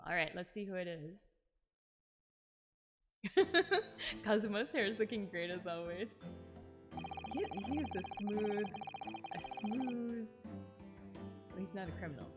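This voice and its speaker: A woman's voice: medium pitch, a little slow, long pauses. A woman from Texas streaming a game, chatting casually and giggling often. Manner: chatty, giggly, full of "like" fillers. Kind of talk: casual game-stream chat and reading aloud. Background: game music.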